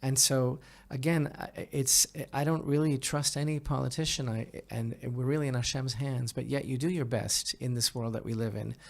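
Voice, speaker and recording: Emphatic, halting male podcast co-host. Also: mid-range voice, fairly even intonation, vocal fry. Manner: emphatic, halting, piles up synonyms. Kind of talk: conversational podcast commentary.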